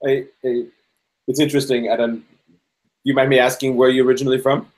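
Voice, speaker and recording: A man's voice: medium pitch, little pitch movement, brisk, darkish tone. Austrian-born male host, middle-aged, curious and personal questions, video call sound. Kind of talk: casual live video interview. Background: fire engine passing.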